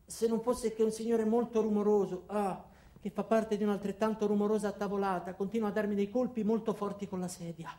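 A man's voice: high-pitched, level tone, a little fast, dark tone, lightly acted. An actor playing an anxious, bewildered ordinary man who stammers through a theatrical radio monologue in speech. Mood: uneasy, wry. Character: anxious, bewildered ordinary man recounting his own trial. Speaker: narrator and lead actor. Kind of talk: theatrical radio monologue acting out several characters.

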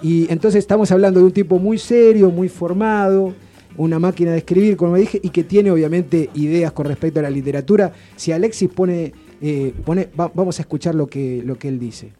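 A man talks on a radio show in a loose, rambling way, restarting his sentences as he goes. His voice is deep-toned and very dark, a little higher than most men's. Soft music plays faintly behind him. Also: a little fast. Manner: rambling, chatty storyteller with many restarts. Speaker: radio guest presenting authors. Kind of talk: casual radio chat.

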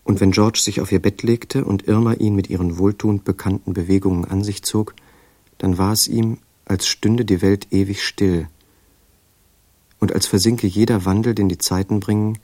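An actor playing an intent young writer, husky and measured, in a literary audio drama. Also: male voice, lowish voice, steady tone, warm tone, no echo, natural voice. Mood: reflective. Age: young adult.

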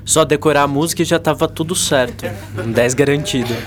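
A man's voice: fairly high pitch, fairly quick, a little hiss or hum, lightly acted. A man playing a cheeky, slacking teenage schoolboy in a Brazilian radio drama, informal and joking.

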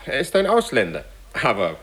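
An actor playing a courtly, apologetic gentleman in a 1950s German radio play, speaking with ceremonious politeness. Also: mid-range voice, wildly swooping pitch, fairly quick. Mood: apologetic, courteous. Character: courtly, apologetic foreign gentleman, sly uncle.

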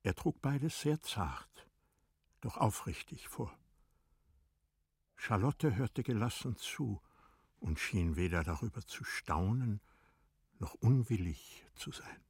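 Male radio-drama narrator: low, husky, unhurried, solemn, literary, in a dry studio sound. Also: animated, dry recording. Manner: solemn, deliberate, hushed at times.

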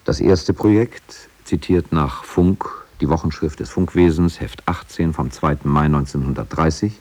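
A man narrates a scripted radio documentary in a low voice with a husky grain. His delivery is measured and factual. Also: a little mellow.

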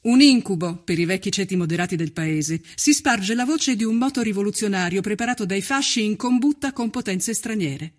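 A man reading scripted documentary narration in a solemn, measured and dramatic way. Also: high voice.

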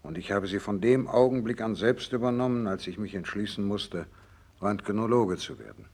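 A gravely ill radiologist in a 1960s radio drama. The voice is fairly low and husky, and he speaks with measured, earnest resolve, insisting on his work despite his illness. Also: darkish tone. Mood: grave, resolute. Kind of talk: 1960s German radio play acting.

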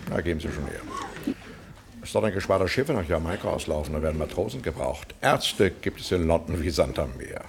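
Pompous, low-voiced grasping lawyer. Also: male voice, expressive, fairly quick. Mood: dismissive, haggling. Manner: pompous, self-important, haggling. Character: pompous, grasping male lawyer and member of parliament. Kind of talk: German radio play acting. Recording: 1970s radio drama with clear background noise.

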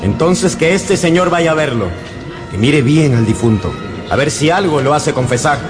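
An actor playing a stern judge, formal and authoritative, in a radio drama. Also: male voice, fairly high pitch, expressive, moderate pace, over music, lightly acted. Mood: stern, commanding. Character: stern, commanding male judge presiding over a hearing.

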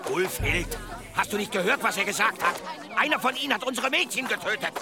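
A man's voice: sits high, a little fast, crowd noise. An actor playing a vengeful, hot-tempered male villager in a German radio drama, aggressive and suspicious in manner.

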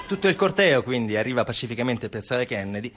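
A man's voice: mid-range voice, big pitch swings, fast, heavy background noise. Man narrating a theatrical monologue. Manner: emphatic repetition, short declarative phrases. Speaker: stage actor and narrator.

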